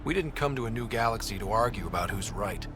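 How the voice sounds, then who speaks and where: An actor playing an earnest, resolute space expedition leader in a video game, with an assured delivery. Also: male voice, on the low side, lively intonation, quick pace, music in the background. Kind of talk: video game cutscene acting.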